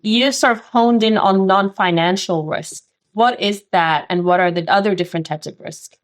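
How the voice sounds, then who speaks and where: A woman's voice: mid-range voice, moderate pace. A woman hosting an interview podcast, presenting prepared introductions and questions to a guest. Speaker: podcast host.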